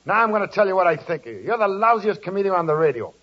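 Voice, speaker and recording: Casting: combative American heckler, high-pitched and insulting, forties radio comedy, noisy muffled recording. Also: male voice, very animated, rapid-fire. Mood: furious. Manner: blunt, combative. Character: angry, insulting tough-guy heckler. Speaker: supporting actor as heckler. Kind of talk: 1940s radio comedy acting. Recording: noisy, dull old radio broadcast.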